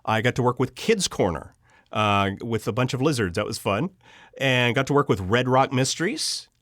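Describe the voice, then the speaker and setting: A man speaking as an interview guest in a conversational storytelling way, earnest and halting, often repeating words as he goes. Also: medium pitch, lively intonation, a bit bright, no echo, clean studio sound. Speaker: audio drama writer and producer, interview guest.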